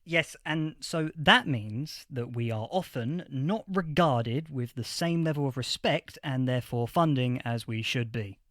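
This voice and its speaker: A man playing an eager, flustered history professor in a fiction podcast interview, stammering and repeating words excitedly. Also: mid-range voice, a little slow, few pauses, no echo, clean studio sound, lightly acted. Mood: defensive, excited. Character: eager, flustered male history professor of a far-future age. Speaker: interviewed academic expert.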